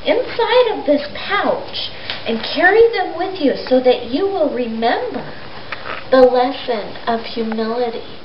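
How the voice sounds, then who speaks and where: Woman voicing a gentle shepherd, noisy recording. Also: high voice, very animated, a little slow. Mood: gently instructing. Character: gentle, patient male shepherd guide. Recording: noisy, echoey, dull amateur recording.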